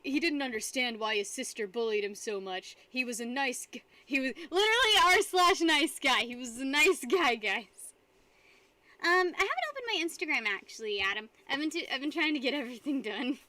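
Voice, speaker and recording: A woman's voice: high voice, big pitch swings, moderate pace, pushed and bright. A young woman streaming live, chatting casually with her viewers and rambling between frequent giggles. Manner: giggly, rambling, reads chat aloud.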